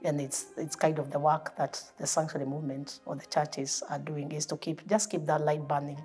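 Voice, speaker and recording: Low, flat-voiced reflective woman. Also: normal speed, soft background music. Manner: reflective, steady, speaking in imagery of hope and light. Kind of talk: documentary interview.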